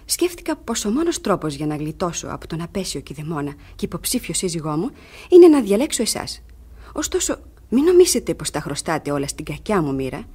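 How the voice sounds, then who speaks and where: An actress playing a bold, desperate young woman in love in a radio drama, urgent and expressive. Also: on the low side, breathy edge. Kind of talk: theatrical radio drama acting.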